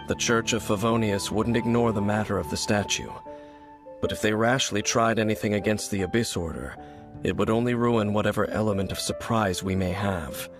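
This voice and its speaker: Guy doing a world-weary loner from a video game, lowish voice, cool and terse, soft music under it. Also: natural voice. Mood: grave warning. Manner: measured, cool, terse, warning. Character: aloof, brooding, world-weary male wanderer who shuns the gods. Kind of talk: video game character acting.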